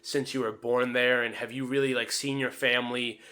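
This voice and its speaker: A man's voice: mid-range voice, flat delivery, moderate pace, bright, forceful tone. A man chatting casually on a podcast, wordy and prone to repeating himself for emphasis. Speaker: podcast participant.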